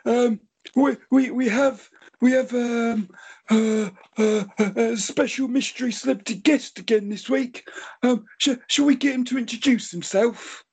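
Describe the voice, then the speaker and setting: A man's voice: very high-pitched, a little slow, gravelly, a little mellow, breathy edge, exaggerated voice. A man voicing a small, chatty, earnest elephant who stammers and fusses as he hosts a comedy podcast quiz. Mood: eager, proud. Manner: stammering, pedantic.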